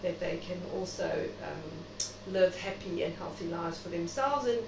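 A woman's voice: lowish voice, unhurried. A middle-aged South African woman speaking reflectively and chattily as a guest in an interview. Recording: noisy, echoey room, distant mic.

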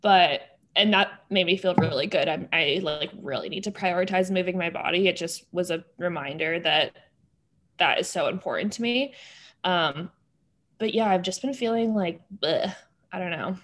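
A woman's voice: fairly low pitch, moderate pace, a bit bright. A young woman co-hosting a personal finance podcast, chatting casually with lots of "like" and easy laughter. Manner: chatty, many "like" fillers, laughs easily. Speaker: podcast co-host. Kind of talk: casual chat.

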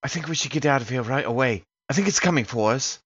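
A man's voice: mid-range voice, lively intonation, quick pace, muffled recording, background noise, voice pushed up, close to an everyday voice. A man voicing a polite, anxious young boy with an attempted Cockney accent in a tabletop game. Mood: anxious urgency.

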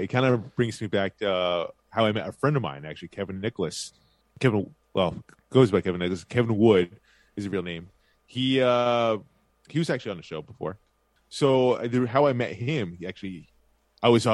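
A man's voice: fairly low pitch, no echo. Hyped male co-host, dry sound. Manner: enthusiastic hype-style promo delivery. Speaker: podcast co-host. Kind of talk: casual chat.

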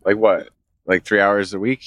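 Low-voiced man with a stuffy cold. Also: level tone, moderate pace, a bit bright, no echo. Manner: laid-back, rambling, swears casually, laughs often. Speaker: podcast co-host. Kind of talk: casual chat.

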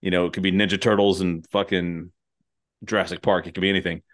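Low-voiced man chatting casually, rambling and jokey. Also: level tone, quick pace. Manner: rambling, jokey, swears freely. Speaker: podcast host. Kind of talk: casual comedic chat.

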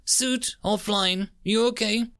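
A man's voice: sits high, fairly even intonation, slow pace, gravelly, pushed and bright, clear, resonant tone, pitched above the performer's own voice, heavily acted. A man voicing an injured, dazed insect-like alien soldier in a story, loyal and urgent, in clipped, strained phrases. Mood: urgent, supportive.

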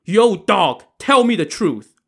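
A man's voice: mid-range voice, big pitch swings, measured pace, fairly bright tone, husky, exaggerated voice. A man voicing a vengeful, fierce constable in a story, roaring threats and giving stern commands. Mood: furious roaring.

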